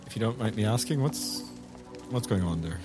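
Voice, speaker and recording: A man's voice: on the low side, expressive, moderate pace, over music, natural voice. A man playing a blunt, probing investigator in improvised tabletop roleplay, pressing with repeated questions. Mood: polite, probing.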